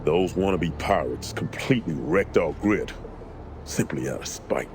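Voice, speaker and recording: A man's voice: sits low, animated, a little slow, over music. A man playing an exasperated, sardonic electrical technician in a game cutscene.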